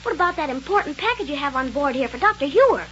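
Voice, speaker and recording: Through clear hiss on an old radio recording comes a woman acting a very high-pitched small boy. The kid is plucky, polite and a little whiny, in the manner of a 1930s adventure serial. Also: expressive, fast talker, a bit bright, muffled recording. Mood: whiny, insistent.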